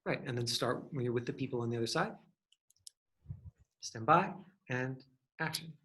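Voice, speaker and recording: A man's voice: medium pitch, expressive, normal speed, sharp tone. A man coaching an acting class, giving instructions in an encouraging way. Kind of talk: hands-on acting lesson.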